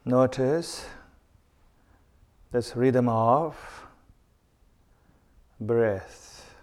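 A man guiding a meditation in short phrases with long pauses between them. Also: medium pitch, darkish tone. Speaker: meditation guide. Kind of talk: guided meditation, spoken instruction. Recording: long reverberant hall sound.

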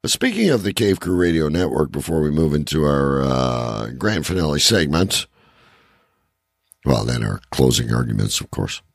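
A man hosting a casual comedy podcast with a gravelly voice, chatting and plugging shows, stretching words like an announcer for emphasis. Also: rumbling low, big pitch swings, moderate pace, no room sound, studio quality. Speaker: podcast host.

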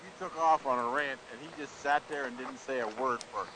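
A man co-hosting a talk show, speaking casually in a halting way with frequent restarts and chuckles. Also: mid-range voice, bright, forceful tone, slight background noise, rough recording. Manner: halting, restarts often, chuckles while talking. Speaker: co-host and columnist. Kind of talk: casual talk-radio chat.

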